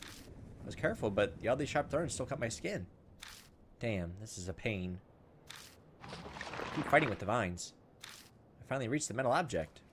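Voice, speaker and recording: A man hosts a video game playthrough in a casual way, moving between reading on-screen story text and thinking aloud. His voice sits at a medium pitch, with some steady noise behind it. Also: moderate pace, long pauses. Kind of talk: gameplay commentary, reading visual novel dialogue aloud.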